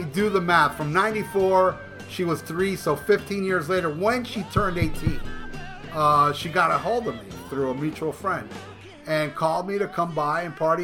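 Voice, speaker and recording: A middle-aged man hosting a podcast, telling a long crude story in a rambling, profane way with stumbling restarts. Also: a little high. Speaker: podcast host. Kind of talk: casual storytelling. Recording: music playing clearly underneath.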